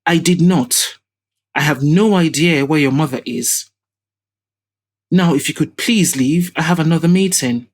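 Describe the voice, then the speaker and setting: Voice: medium pitch, a little slow, lightly acted. A wealthy older man of sinister temper as a woman narrator plays him in an audio drama. He speaks formally and haughtily, dodging and bristling as one used to being obeyed. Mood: curt.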